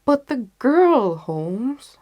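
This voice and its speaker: A woman reading the part of a loyal, stubborn gentleman companion in a storybook, earnest and exclamatory. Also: on the high side, big pitch swings, extremely slow, pitched above the performer's own voice, heavily acted. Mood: alarmed.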